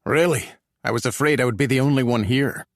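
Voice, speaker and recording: A man's voice: medium pitch, a little fast, no room sound, close to an everyday voice. A blunt, wealthy American man in his sixties, played by a male narrator, sounding crass and boastful in a close, dry audiobook recording. He speaks as someone used to paying for things and saying so. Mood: inquisitive. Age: 60s.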